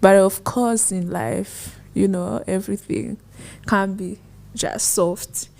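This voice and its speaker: A young woman from Rwanda telling her story as a podcast guest, soft-spoken and thoughtful, her voice trailing off at phrase ends. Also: medium pitch, steady tone, takes it slowly, vocal fry. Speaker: guest, former foreign student. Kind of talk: conversational storytelling.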